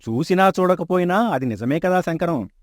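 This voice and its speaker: An actor playing a kindly, trusting older father in a storybook tale, speaking gently. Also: fairly high pitch, expressive, moderate pace, dry recording, clean studio sound, close to an everyday voice. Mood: fatherly.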